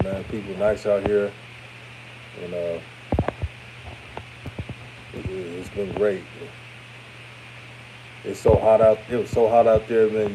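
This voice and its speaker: Man, 30s, deep voice that reads older, casual live-stream sports talk, noisy hum behind. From Houston, Texas. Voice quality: deep voice that sounds older than his age. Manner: relaxed, chatty, repeats himself, trails off. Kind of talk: casual live-stream hot takes, reading chat comments. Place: his room after a game.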